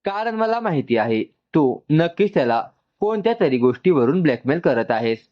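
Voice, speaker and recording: An angry, suspicious sister in a storybook drama acted out by a man, firing accusing questions with big pitch swings. The sound is a bit dull and lo-fi. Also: big pitch swings, moderate pace. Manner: sharp interrogating, accusing.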